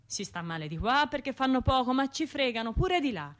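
A woman's voice: sits high, big pitch swings, a little fast. An actress playing a bitter, sardonic, grieving woman with a Tuscan accent in a colloquial radio drama monologue. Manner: colloquial, sardonic, acting out other people's remarks. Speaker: stage and radio actor.

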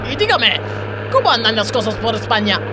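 Man as high, lively Argentine butcher. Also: a little fast, background noise. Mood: chatty. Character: fussy, chatty neighbourhood butcher.

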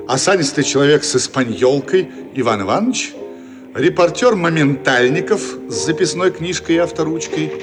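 A man as the narrator of a radio play, reading scripted stage directions. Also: mid-range voice.

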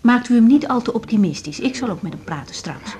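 A woman's voice: a little high, expressive, fast, darkish tone, natural voice. A young woman acting a patient, tactful doctor in a 1980s naturalistic radio drama, explaining things carefully and reassuringly. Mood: calm, careful. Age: young adult.

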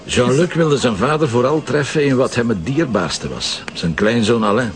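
A man's voice: mid-range voice, normal speed, lightly acted. A man with a Flemish accent playing a self-assured, teasing police commissioner in an audio drama, explaining things wryly.

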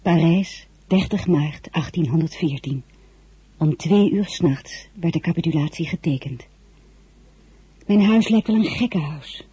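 A woman playing a gracious but worried crown princess in a radio drama, soft-spoken and a little hesitant under strain. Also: low voice, measured pace, breathy edge, full character voice. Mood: composed, exasperated. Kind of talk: radio drama acting with diary narration.

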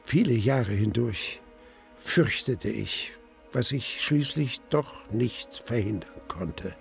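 An actor playing a grieving nobleman in a radio drama, recounting his tragic marriage in a sombre, measured, husky voice. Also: mid-range voice, expressive, soft background music. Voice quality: husky, gravelly. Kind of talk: radio drama first-person narration.

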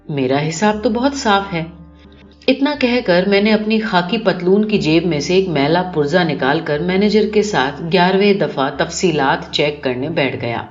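A woman reading a literary short story as scripted first-person audiobook narration. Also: on the low side. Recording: dull lo-fi recording with music behind. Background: clear music.

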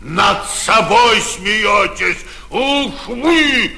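An actor playing an outraged, humiliated old provincial mayor in a theatrical, exclamatory 1940s Russian stage style. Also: male voice, high voice, expressive, drawn-out words, vocal fry, pushed and bright, full character voice. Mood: raging, bitter. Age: older. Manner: theatrical, exclamatory, self-berating. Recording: 1949 theatre radio production.

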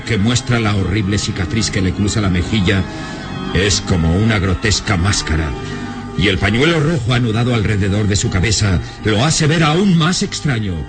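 A man narrating a radio drama in a solemn, ominous, scripted storytelling style. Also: lowish voice. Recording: music under the narration. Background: dramatic music.

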